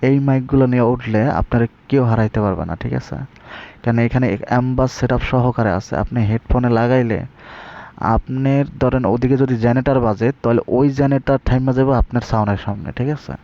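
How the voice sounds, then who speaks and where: A man's voice: mid-range voice, steady tone, fast, dark tone. A man with a Bangladeshi accent giving a casual, colloquial tutorial and sales talk, often checking in with his listeners. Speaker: online seller and video presenter. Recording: slightly dull, lo-fi audio.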